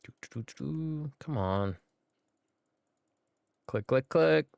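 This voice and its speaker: A man's voice: medium pitch, lively intonation, slow, vocal fry, darkish tone, dry recording, studio quality. Rambling man streaming a game. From United States. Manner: laid-back and rambling, with many fillers. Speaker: gaming streamer. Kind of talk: casual gameplay commentary.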